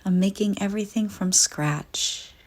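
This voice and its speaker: Slow, husky, low-voiced woman guiding meditation. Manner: soft, breathy, soothing, deliberate delivery with frequent pauses. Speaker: guided meditation narrator. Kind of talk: guided visualization meditation, scripted first-person narration.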